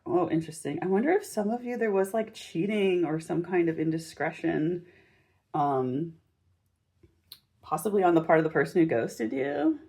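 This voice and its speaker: A woman hosting a casual, chatty tarot reading in a gentle, encouraging and informal manner, with a slightly husky voice. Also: lowish voice, lively intonation, moderate pace. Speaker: tarot reader and channel host.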